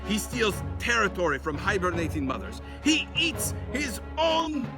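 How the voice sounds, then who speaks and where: A man's voice: high voice, big pitch swings, unhurried, over music, pitched above the performer's own voice, full character voice. A man voicing a stern, proud older brother bear in a children's story, with a proper, admonishing manner. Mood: scolding. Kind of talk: storybook character voice.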